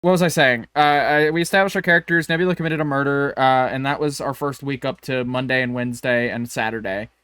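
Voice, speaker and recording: A man's voice: mid-range voice, steady tone, quick pace, few pauses, fairly bright tone, smooth, dry recording. A man co-hosting a podcast in casual chat, bantering jokily and swearing freely. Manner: profane, jokey bantering. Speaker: podcast co-host.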